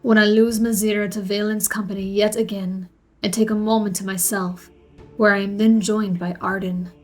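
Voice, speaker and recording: A woman plays a male drow elf recounting his own tale in a fantasy audio drama. The voice is gravelly, with a sulky, self-deprecating wryness. Faint music plays behind the narration. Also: mid-range voice, flat delivery, moderate pace, a little mellow, natural voice. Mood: wry, measured. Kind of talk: first-person fantasy audio story narration.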